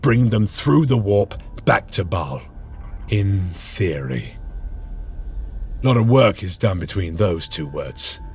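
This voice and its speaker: A man's voice: low-pitched, animated, takes it slowly, full character voice. A man playing a stoic, weary veteran space marine sergeant, solemn and formal in dramatic game narration. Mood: brooding. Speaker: character narrator. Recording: dramatic music behind the voice.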